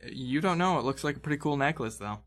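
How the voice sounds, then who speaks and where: A man's voice: a little high, expressive, quick pace, a bit bright. A man acting as game master, improvising tabletop game narration and searching for words as he goes. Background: background fantasy game music.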